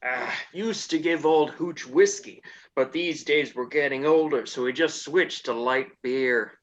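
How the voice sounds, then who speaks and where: A comedian voicing a lovable old dog owner in a comedy radio play, with a folksy, drawling manner. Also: male voice, mid-range voice, a little slow, highs cut off, natural voice.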